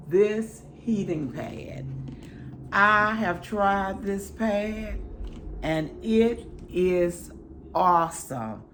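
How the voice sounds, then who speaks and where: Hoarse, slow-talking woman reviewer. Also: medium pitch, a little hiss or hum. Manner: affectionate, chatty, pious greetings. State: hoarse, crackly voice after illness. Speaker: product reviewer. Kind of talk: casual product review.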